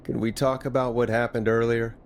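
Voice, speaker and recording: Man as radio DJ, medium pitch, measured, dry, probing questions, horror game drama, faint background noise. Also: flat delivery, natural voice. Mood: concerned, probing. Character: middle-aged radio DJ calmly probing a dark mystery. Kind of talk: dramatic video game voice acting.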